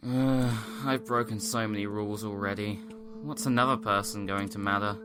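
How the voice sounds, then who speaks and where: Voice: deep voice, steady tone, normal speed, music in the background, lightly acted. A performer playing an earnest, guilt-ridden young agent in a fan audio drama, anxious but resolute, sighing and pleading. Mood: remorseful.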